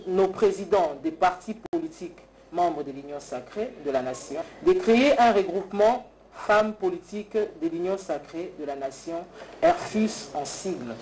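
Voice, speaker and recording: A woman's voice: fairly low pitch, dark tone. A woman in her thirties with a Congolese accent, reading a prepared political statement into a handheld microphone. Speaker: political coordinator. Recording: noisy press conference hall.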